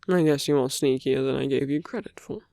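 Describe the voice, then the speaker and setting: A performer voicing a smug, flirtatious villain in an ASMR roleplay, teasing and intimate, with a breathy murmur that often slips into whispers. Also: medium pitch, fairly quick, dark tone, no room sound, lightly acted. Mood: amused, mocking. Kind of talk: ASMR romantic roleplay audio drama.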